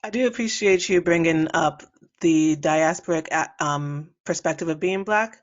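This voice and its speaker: A woman's voice: low voice, normal speed. Thoughtful woman podcast host, lo-fi. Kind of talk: interview conversation.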